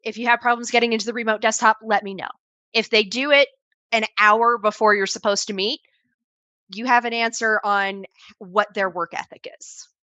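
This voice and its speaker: Bright-voiced woman giving casual advice. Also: fairly high pitch, steady tone, moderate pace, no room sound. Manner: conversational, explains with quoted examples, restarts often. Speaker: panel guest advising on assistant training. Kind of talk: casual expert advice on a video call. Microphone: video call.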